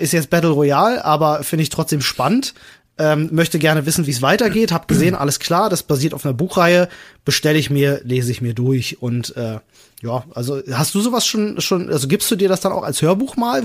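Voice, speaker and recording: A male podcast host with a somewhat high voice. He talks casually and wanders through his explanations, piling clause onto clause in an informal chat style.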